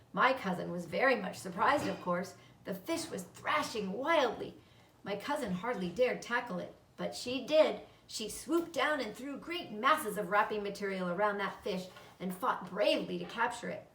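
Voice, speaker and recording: A woman voicing a calm, motherly female spider storyteller who speaks in a measured, encouraging way. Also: mid-range voice, slight background noise. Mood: storytelling. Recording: roomy, echoing, distant microphone.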